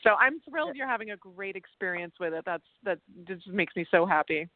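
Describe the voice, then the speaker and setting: A German-born woman whose first language is German, speaking English without an accent as an interview guest, telling animated stories and restarting her sentences often. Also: medium pitch, a little fast, no room sound. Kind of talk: casual interview chat. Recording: tinny phone-like line.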